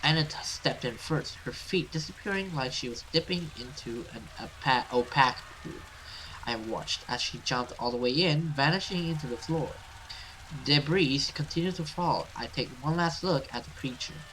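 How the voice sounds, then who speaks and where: A man's voice: mid-range voice, normal speed, a bit bright, slight background noise, rough recording. A man giving casual let's play commentary and reading game text aloud. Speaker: gaming video host.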